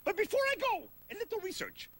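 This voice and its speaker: An actor playing an excitable, theatrical male sheep puppet who cackles and speaks in grand, old-fashioned phrases. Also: very high-pitched, fast talker, noisy background. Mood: chatty.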